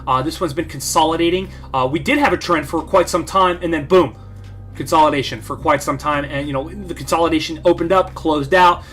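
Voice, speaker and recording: A man hosting a market analysis channel, slightly hoarse, talking bluntly and emphatically with lots of fillers and repeated phrases. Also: fairly high pitch, brisk, a bit bright, soft background music. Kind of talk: casual chart analysis talk.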